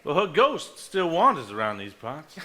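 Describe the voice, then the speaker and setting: A man playing an agreeable, earnest younger brother in a Southern stage drama, animated and insistent. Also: on the high side, normal speed, exaggerated voice. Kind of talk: live stage drama acting.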